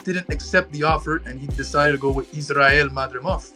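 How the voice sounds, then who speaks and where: Guest, man from Puerto Rico, bright tone, relaxed halting video-call chat. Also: a little high, soft background music. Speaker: guest boxing channel creator.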